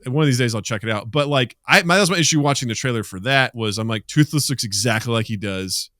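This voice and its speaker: Bright, punchy-voiced man, blunt podcast talker. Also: mid-range voice, fast talker, dry recording, clean studio sound. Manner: blunt and profane. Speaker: podcast co-host. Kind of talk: casual, animated chat.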